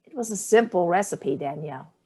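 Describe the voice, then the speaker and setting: A woman's voice: fairly low pitch, animated, a little fast, a little mellow, breathy edge. Actress as a cutting, hard-drinking older mother, dry and sardonic. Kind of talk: staged play reading.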